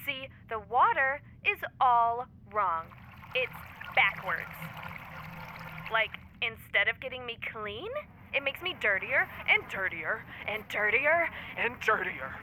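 Bright, fretful woman caller. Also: fairly high pitch, sing-song, takes it slowly, slight background noise, full character voice, low-quality recording. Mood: fretful, insistent. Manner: insistent, repetitive. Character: fretful, superstitious woman calling about cursed plumbing. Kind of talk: audio drama phone call.

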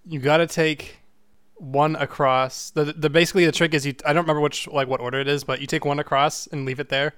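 A man talks through a riddle with his friends, thinking out loud, backtracking and swearing casually. His voice is smooth and clear, recorded dry and close. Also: on the high side, level tone, fairly quick, dry recording. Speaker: co-player. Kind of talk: casual chat over a game.

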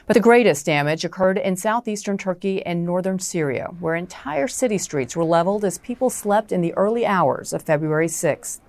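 A middle-aged woman guest anchor hosting a news interview program, reading scripted lead-ins and questioning guests in a measured way. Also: on the low side, darkish tone, no room sound.

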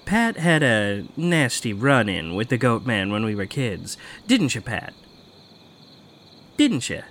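Casting: cocky, profane campfire-legend teller for horror audio drama, very animated pitch, faint night ambience. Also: male voice, slight background noise. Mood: needling. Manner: teasing, theatrical, casually profane. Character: cocky foul-mouthed man telling a spooky local legend.

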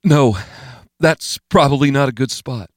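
A male narrator puts on a frightened younger man for an audiobook. He speaks in a raised pitch, uneasy and hesitant. The tense delivery comes through a very dry recording. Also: measured pace, dry recording, lightly acted. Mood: nervous, reluctant.

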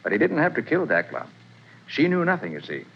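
Quick, lively, husky-voiced detective, 1940s radio drama, lo-fi. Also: male voice, a little high, full character voice. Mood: confident. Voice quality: brisk, self-assured explaining. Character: confident male detective explaining the case. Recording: dull old radio broadcast.

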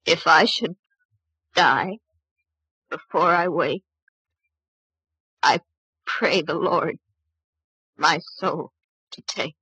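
A doomed, guilt-stricken young woman in a vintage radio play. Her voice is on the low side and very husky, with a hard, tense edge. She speaks haltingly and with intensity. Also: pushed and bright, no room sound, heavily acted, rough recording. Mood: dazed, halting. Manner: halting, intense, self-reproaching. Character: guilt-ridden, doomed young woman blaming herself for a curse. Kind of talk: old-time radio drama acting.

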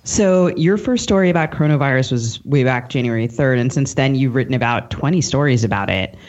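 A woman's voice: rumbling low, a little fast, a little mellow. American woman podcast host, slightly dull audio. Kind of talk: conversational podcast hosting.

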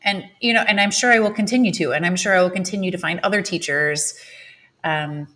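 A woman's voice: on the low side, fairly quick, fairly bright tone. A woman in her thirties, a stage actress speaking as a podcast guest, thoughtful and searching, with many fillers. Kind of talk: casual podcast interview.

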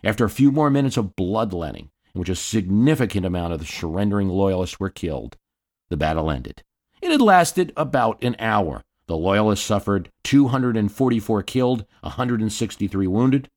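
A man hosting a history podcast, telling the story in a scripted narration with wry asides. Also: lowish voice. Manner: storytelling, dramatic readings of quotes.

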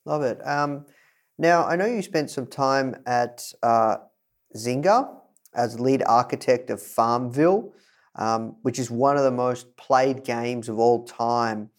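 A man hosting a business podcast, energetic in his intro and hesitant with many fillers when asking questions. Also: medium pitch, slow. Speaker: podcast host.

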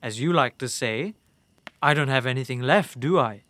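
A man's voice: mid-range voice, moderate pace, bright, forceful tone, no room sound, natural voice. A man playing a sly captive storyteller in an audio drama, taunting and persuasive with a theatrical delivery. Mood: sly, intense. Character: sly, ancient male captive storyteller bargaining for life.

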